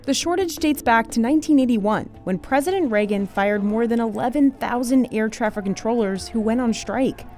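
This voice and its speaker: A woman's voice: a little high, moderate pace, few pauses, darkish tone, faint music behind. An American woman reading scripted documentary narration as the narrator of a news feature.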